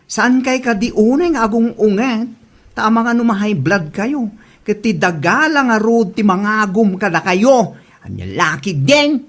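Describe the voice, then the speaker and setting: A woman's voice: medium pitch, very animated, measured pace. Woman playing cheeky village gossip, swooping voice. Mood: teasing, startled. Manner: teasing, exclamatory. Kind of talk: Ilocano comedy drama acting.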